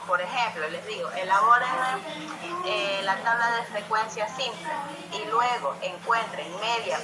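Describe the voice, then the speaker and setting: Slow-paced woman teacher in an echoey room. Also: medium pitch. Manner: explains step by step, checking understanding with frequent "ok?". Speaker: statistics teacher. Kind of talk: classroom lesson. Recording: echoey room with loud music behind.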